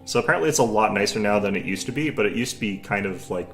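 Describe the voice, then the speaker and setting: A young man with a low, gravelly voice chats loosely with his stream viewers, wandering between thoughts with plenty of fillers. Faint music plays underneath him. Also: animated, rapid-fire. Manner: rambling, many fillers and restarts. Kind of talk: casual chat with stream viewers.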